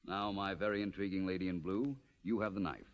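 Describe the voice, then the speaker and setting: Suave, low-voiced actor's radio detective. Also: male voice, steady tone, brisk, lo-fi sound, lightly acted. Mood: commanding, theatrical. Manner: commanding, polished, courtly. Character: suave, self-assured male private detective, wryly theatrical. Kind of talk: 1940s radio acting.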